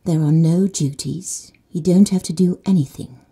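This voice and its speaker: A woman leads a guided sleep meditation in a slow, soothing manner. She often drops to soft whispers. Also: fairly low pitch, slightly rough. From Netherlands.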